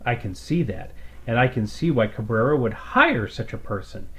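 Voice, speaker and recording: A man plays a sly, flirtatious woman in a space opera story. She flatters and coaxes in a drawling, suggestive way, always angling for advantage. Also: medium pitch, moderate pace, lightly acted, poor audio. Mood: flattering. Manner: drawling, coaxing innuendo.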